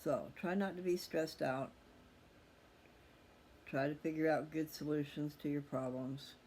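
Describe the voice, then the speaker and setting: A middle-aged man with a slightly high voice speaks in a loose, candid way, as if thinking aloud to his listeners. His talk is casual and reflective. There is noticeable background noise on the recording. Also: steady tone, a little slow, darkish tone. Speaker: host of a personal video channel. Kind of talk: casual, rambling personal monologue. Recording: clearly audible background noise.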